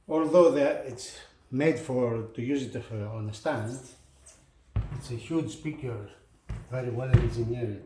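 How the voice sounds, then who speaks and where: A man who is not a native English speaker presents a product unboxing casually, speaking in short, halting phrases. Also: mid-range voice, mellow, slight background noise, poor audio. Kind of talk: casual unboxing demonstration talk.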